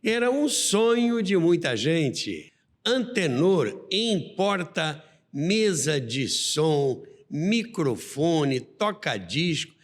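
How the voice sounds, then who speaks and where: A man with a Brazilian accent hosting a podcast, telling history in an expressive, didactic, conversational way. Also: sits high. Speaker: podcast host. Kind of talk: conversational storytelling about radio history. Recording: long reverberant hall-like echo.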